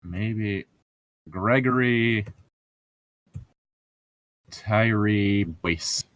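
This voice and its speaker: A man's voice: lowish voice, extremely slow, fairly bright tone, no room sound, low-quality recording. A man co-hosting a casual book commentary, swearing freely and rambling through his explanations with plenty of "like". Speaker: podcast co-host reading and critiquing a book.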